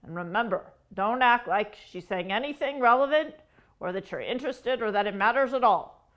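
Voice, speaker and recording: A woman's voice: fairly high pitch, lively intonation, moderate pace, lo-fi sound, voice pushed down, lightly acted. A woman voicing a suspicious, controlling crow leader who gives orders in a scheming tone. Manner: scheming, commanding, conspiratorial.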